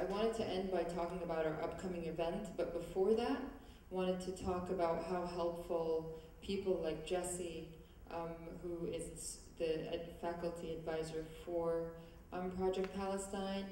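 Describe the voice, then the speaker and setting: A young woman addresses a hall through a handheld mic, hesitant and full of restarts. Her voice is low, dark and flat in tone. The echoing auditorium swallows her, picked up from a distant camera. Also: slight background noise. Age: young adult. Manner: hesitant, many fillers and restarts. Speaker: student organization speaker. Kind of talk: prepared public remarks. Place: large auditorium stage. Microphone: handheld mic, far camera. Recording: echoey hall recorded from afar.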